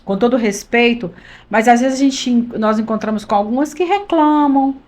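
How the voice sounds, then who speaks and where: Mulher de cinquenta e poucos, voz aguda. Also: female voice, a little high, moderate pace, darkish tone. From Minas Gerais, Brazil. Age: 50s. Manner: earnest, emphatic, repeats phrases for emphasis. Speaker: pastor's wife, podcast guest. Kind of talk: conversational testimony.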